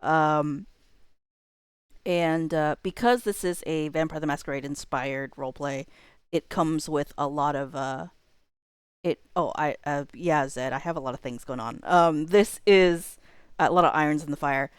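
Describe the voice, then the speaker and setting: A woman's voice: low-pitched, measured pace, clear, resonant tone, dry recording, clean studio sound. A woman hosting a livestream, chatting casually to viewers in a joking way with wandering asides. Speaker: livestream host.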